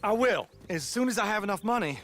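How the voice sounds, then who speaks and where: Fretful young gentleman. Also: high voice, lively intonation, quick pace, soft background music. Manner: anxious, pleading, refined. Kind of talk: video game cutscene acting.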